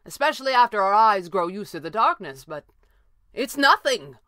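A woman's voice: medium pitch, sing-song, normal speed, bright, forceful tone, no echo, clean studio sound, pitched above the performer's own voice. A woman voicing a mocking masked male red panda adventurer, calling out theatrically in an audio story. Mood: exclaiming.

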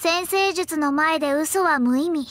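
A woman's voice: high-pitched, unhurried, a bit bright, a little hiss or hum. A woman playing a proud, confident young female astrologer in an anime game, speaking politely with a boastful, self-assured manner. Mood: smug. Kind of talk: anime game character dialogue. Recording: background music under clean voice.

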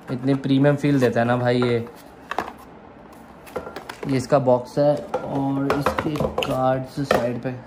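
A young man from India vlogging casually at home, chatting excitedly as he unboxes a camera and explains each step. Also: medium pitch, background noise. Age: young adult. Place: room at home, indoors.

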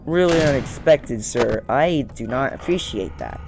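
Slow-paced young man muttering over a game. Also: medium pitch, expressive, background noise. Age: young adult. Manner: muttering to himself, repeating phrases. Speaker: gaming YouTuber. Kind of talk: casual let's-play commentary. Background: game music.

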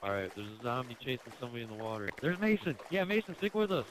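A man's voice: mid-range voice, big pitch swings, racing, noisy background. A young man in casual in-game voice chat, directing his teammates as a player in an online game.

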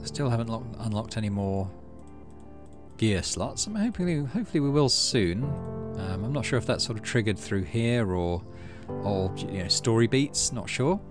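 A man hosting a gaming channel, low-key, casually commenting on play and reading story text aloud. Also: lively intonation, normal speed, music in the background.

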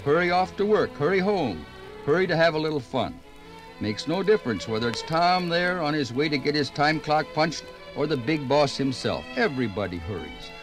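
Folksy American narrator, 1950s radio documentary, homespun, conversational, animated intonation. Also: male voice, a little high, normal speed, soft background music. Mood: folksy, emphatic. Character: folksy plain-spoken Minnesotan man narrating his state's story.

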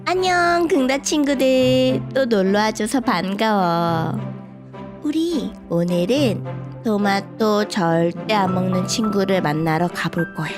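A gentle woman introducing a picture book to young children and chatting with them like friends. She keeps a slow, easy pace and a playful tone. Loud cheerful children's music plays in the background. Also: mid-range voice, expressive. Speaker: children's book reader, channel host.

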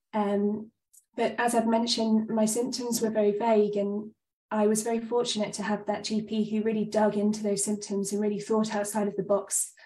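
A woman's voice: medium pitch, dark tone, reverberant, far from the mic, low-quality recording. Stuffy-nosed woman on a video call. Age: 30s. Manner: earnest, frequent ums. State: stuffed up, slightly breathless. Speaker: GP giving a presentation. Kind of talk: webinar talk.

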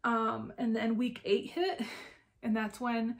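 A woman's voice: on the high side, flat delivery, takes it slowly, creaky. A young woman with a congested, nasal voice, slightly out of breath, chatting casually as a vlog host. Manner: chatty, many "like" fillers. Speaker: crafting podcast host. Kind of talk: casual personal vlog chat.

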